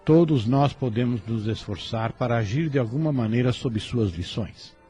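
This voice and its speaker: Mid-pitched man, devotional narration over soft music. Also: moderate pace. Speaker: presenter giving a closing reflection. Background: faint background music.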